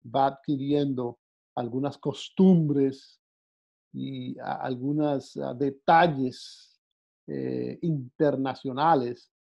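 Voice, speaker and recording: A man's voice: medium pitch, steady tone, creaky. A man from the Dominican Republic teaching a Bible lesson off the cuff, with frequent hesitations and repeated words. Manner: halting, many "eh" fillers and repeated words. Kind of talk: unscripted Bible class talk. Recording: dull lo-fi sound.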